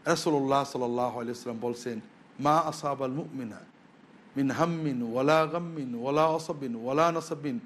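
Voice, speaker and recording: A man's voice: a little high, a little hiss or hum. A man preaching as an Islamic scholar, explaining emphatically to viewers. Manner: emphatic, explanatory, with rhetorical questions. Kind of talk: religious sermon to viewers, with Arabic quotations.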